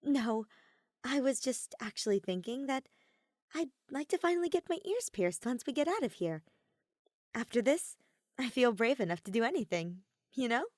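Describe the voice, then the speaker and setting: A woman's voice: a little high, sing-song, moderate pace, a little mellow, dry recording, studio quality, voice pushed up. A woman voicing an earnest, cheerful teenage girl pianist who speaks eagerly and gets a little flustered.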